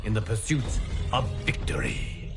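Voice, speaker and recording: A man playing a solemn, formal god who guards the timelines, narrating a game cutscene in a grave, deliberate way. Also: on the low side, gravelly, over music. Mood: grave, resolute. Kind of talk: scripted dramatic game narration.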